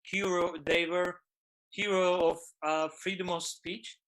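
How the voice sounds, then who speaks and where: A man with a Turkish accent, a film director guest on an online interview, speaking English haltingly and searching for words. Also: high-pitched, flat delivery, fairly bright tone, a little hiss or hum, low-quality recording. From Turkey.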